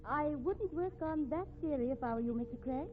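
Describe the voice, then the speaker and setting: An actress playing a cool, unflappable widow under suspicion in a 1950s radio mystery, arch, haughty and drily mocking. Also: female voice, high-pitched, brisk, lightly acted. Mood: haughty, defiant. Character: cool, sardonic, unflappable widow, a murder suspect. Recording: noisy, tinny old radio recording.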